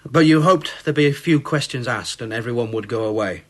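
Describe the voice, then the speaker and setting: A man's voice: mid-range voice, fairly quick, close to an everyday voice. A man playing a brusque, sceptical wartime police inspector in a radio drama, with a clipped, dismissive manner. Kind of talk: period radio drama acting.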